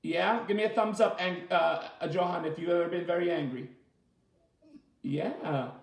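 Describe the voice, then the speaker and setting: A man leading a children's story time as a teacher, reading aloud and asking the listeners simple questions in an animated way. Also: sits high, moderate pace, gravelly. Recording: roomy, echoey sound.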